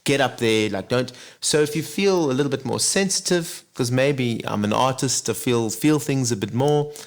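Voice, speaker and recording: Male interview guest, mid pitch, reflective and earnest. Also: moderate pace. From Zimbabwe. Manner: reflective, earnest, many "you know" asides. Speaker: guest singer. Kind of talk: casual interview chat.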